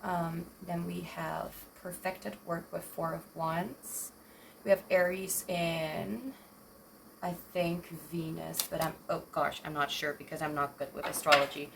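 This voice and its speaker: A young woman in her twenties talks through a tarot reading in a low, gravelly, slightly raspy voice. She hesitates and fills gaps with ums, breaking off mid-sentence. A faint noise sits under the recording. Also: steady tone, unhurried, fairly bright tone, rough recording. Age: 20s. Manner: hesitant, rambling, self-interrupting with many fillers. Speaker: tarot reader.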